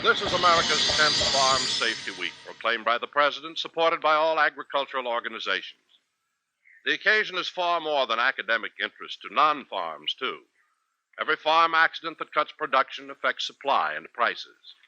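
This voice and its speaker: A man's voice: mid-range voice, animated, brisk, bright, forceful tone, dry recording, rough recording. A man working as a radio network announcer, formal and emphatic in 1950s broadcast style. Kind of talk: 1950s radio announcing, public service message.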